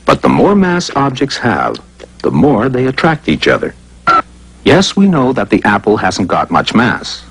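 Animated male narrator with sweeping pitch. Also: husky, rough recording. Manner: playful, conversational, rhetorical questions. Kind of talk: scripted educational cartoon narration.

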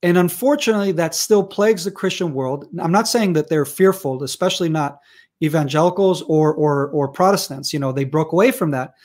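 Passionate higher-voiced man, American accent. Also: fairly quick, darkish tone. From Israel. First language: Hebrew. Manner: passionate, argumentative, many restarts. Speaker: guest researcher. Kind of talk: video call interview. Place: room at home. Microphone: wired earphones on video call.

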